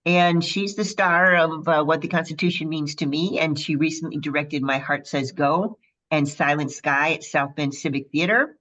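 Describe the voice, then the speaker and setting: A woman's voice: low voice, steady tone, normal speed, fairly bright tone. A woman with a Midwestern accent hosting a radio interview, chatty and bubbly, with frequent fillers. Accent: Midwestern American. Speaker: radio show host.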